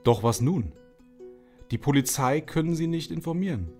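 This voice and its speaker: A man's voice: fairly high pitch, lively intonation, moderate pace, dark tone, close to an everyday voice. A man narrating an audio drama for children, speaking directly to the young listeners. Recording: music under the voice.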